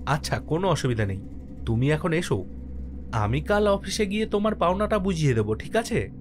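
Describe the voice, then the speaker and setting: Man acting an animated, easygoing postmaster in horror cartoon. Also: a little high, brisk, a little hiss or hum. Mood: relaxed, friendly. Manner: expressive, dramatic. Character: male village postmaster, friendly, easygoing, narrating his ghost story. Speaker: first-person narrator and lead character. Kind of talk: dramatized horror cartoon storytelling. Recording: music under the voice.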